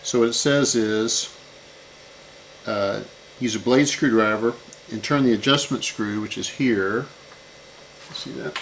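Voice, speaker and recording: A man with a low-pitched, creaky voice talks through hands-on repair work. He speaks casually and without a script, thinking aloud as he goes. A little noise sits behind him. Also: takes it slowly, pauses often, poor audio. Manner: unscripted, thinking aloud while working. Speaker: repair channel host.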